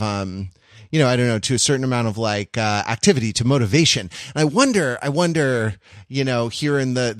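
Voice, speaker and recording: A man talks casually into a close podcast microphone with a very dry sound. His pitch sits mid-range, and he rambles wryly, slipping into mock-grandiose wording as he goes. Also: a bit bright, no echo. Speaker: podcast co-host.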